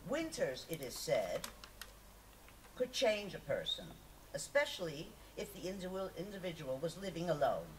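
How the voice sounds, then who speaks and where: Woman reading aloud, lively intonation, distant mic. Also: lowish voice, moderate pace. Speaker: reader at a poetry festival. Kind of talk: reading a memoir aloud to an audience. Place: live festival reading, picked up from a distance. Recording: distant mic, noisy live event recording.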